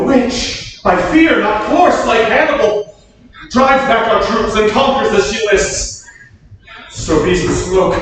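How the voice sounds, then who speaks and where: A man's voice: very high-pitched, fairly bright tone, full character voice. A man acting a proud, fiery English warrior lord in declamatory Shakespearean verse. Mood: bewildered, bitter. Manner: theatrical, declamatory. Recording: echoey hall, distant mic, noisy.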